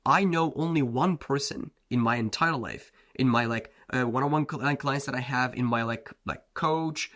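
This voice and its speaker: A man's voice: medium pitch, normal speed, few pauses, a bit bright. Blunt casual man, Turkish native. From Turkey. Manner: blunt, casual, slangy, says "bro" and "like" often. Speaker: self-improvement coach. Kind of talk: motivational talk to camera.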